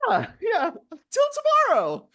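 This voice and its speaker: A woman's voice: extremely high pitch, wildly swooping pitch, measured pace, creaky, a bit bright, heavily acted. A woman playing a nerdy, kind and nervous teenage girl in an audio musical, stammering when flustered. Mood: nervous, eager. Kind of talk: podcast musical read-through.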